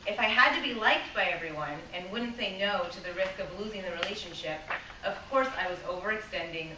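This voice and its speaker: A woman speaking to a live room in a clear, bright voice. She sounds earnest and asks the audience questions. She is picked up from a distance in an echoey room with a faint hiss. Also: medium pitch. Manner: earnest, asks the audience questions, small laughs, loses her place and restarts. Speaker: club speaker. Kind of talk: prepared public speech to a live room.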